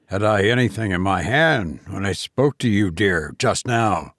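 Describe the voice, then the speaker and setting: A man's voice: fairly low pitch, expressive, unhurried, fairly bright tone, no room sound, studio quality, voice pushed down, full character voice. A man voicing a flustered, absent-minded scientist in a story. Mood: worried.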